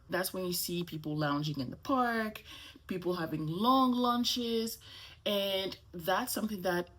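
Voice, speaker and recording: A middle-aged woman whose first language is French talks casually to camera in English. Her voice sits low and has a husky, slightly breathy grain. She keeps things chatty, often checking in with the listener. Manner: chatty, with frequent "okay?" and "right?" check-ins. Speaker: vlogger.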